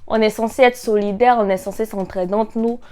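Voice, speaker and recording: Lively Ivorian woman vlogger. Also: mid-range voice, a little fast. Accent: Ivorian French. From Côte d'Ivoire. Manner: lively, joking, animated. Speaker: YouTuber. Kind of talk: casual vlog to camera.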